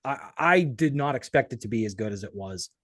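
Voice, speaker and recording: Male interviewer, quick pace, mid pitch, dry sound, casual podcast chat. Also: animated, no echo.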